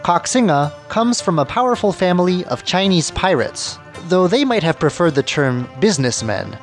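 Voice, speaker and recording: A man narrates a radio documentary as its host, in a fairly high voice with very lively pitch movement. His delivery is scripted and presentational, with soft music underneath. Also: moderate pace. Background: background music.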